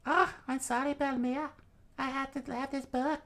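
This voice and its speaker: Cheeky little girl, defiant, whiny, pitched far up, storybook style. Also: little pitch movement, moderate pace, a bit bright. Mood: apologetic pleading.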